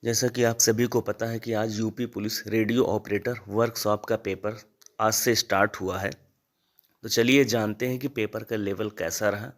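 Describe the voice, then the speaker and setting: A man's voice: on the low side, steady tone, moderate pace. A man informally briefing students as a teacher, repeating points as he explains. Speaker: exam-prep teacher and channel host. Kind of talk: informal exam analysis addressed to students.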